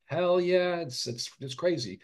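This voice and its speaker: A middle-aged man, an author and physician, speaking earnestly in an interview, often restarting his sentences and dropping quiet at heavy moments. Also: fairly high pitch, expressive. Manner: earnest, halting, frequent restarts and fillers. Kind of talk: reflective interview conversation.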